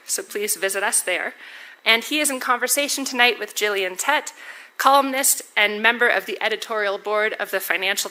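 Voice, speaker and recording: Lady doing the intro to a crowd, brisk, kinda out of breath, bright voice. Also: medium pitch. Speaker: event presenter. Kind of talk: introductory remarks. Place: auditorium before a live audience.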